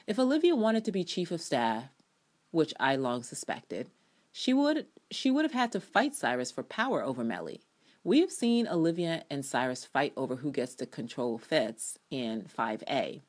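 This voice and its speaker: Low-voiced woman, animated and sardonic. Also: normal speed. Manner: opinionated, sardonic, profane; emphatic, theatrical delivery. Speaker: TV drama reviewer. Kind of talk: dramatic reading of own written review with casual ad libs.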